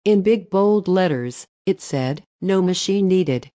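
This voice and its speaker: A woman narrating online stories in an even, matter-of-fact reading voice. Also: lowish voice, takes it slowly, no room sound, studio quality.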